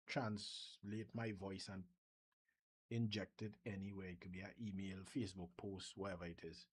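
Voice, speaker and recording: A man from Trinidad speaks with his Trinidadian accent in a slightly low, breathy-edged voice. He talks casually through a software demo at his desk, thinking aloud and restarting his sentences as he goes. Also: rough recording. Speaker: software developer vlogger. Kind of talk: casual screen-share demo.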